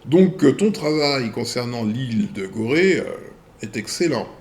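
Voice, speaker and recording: A man hosting a video channel. He reads viewer messages aloud and answers them in a deliberate way, in short phrases with pauses. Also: medium pitch, lively intonation, darkish tone, slight background noise.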